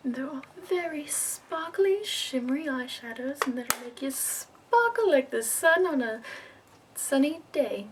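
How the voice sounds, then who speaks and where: A woman in her twenties voicing a prim, fussy, upbeat woman makeup escort from the capital, in a soft whispered ASMR roleplay. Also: high-pitched, lively intonation, a little slow, noisy background. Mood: prim, soothing.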